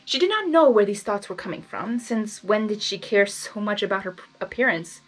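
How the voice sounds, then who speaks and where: A woman reading fan fiction aloud as host, narrating and breaking into casual, expressive reactions. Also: medium pitch, brisk, rough recording. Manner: expressive, animated asides.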